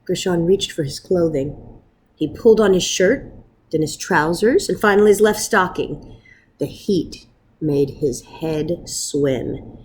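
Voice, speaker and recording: A woman reading a children's story aloud in an expressive, dramatic manner with measured pauses. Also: on the low side, slightly rough. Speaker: storybook reader.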